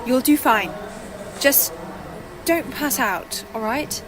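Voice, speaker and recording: Lady acting a composed, encouraging female agent, high voice, unhurried, audio drama. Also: lively intonation, a crowd in the background, poor audio. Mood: reassuring, firm. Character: poised, supportive, firm female military agent.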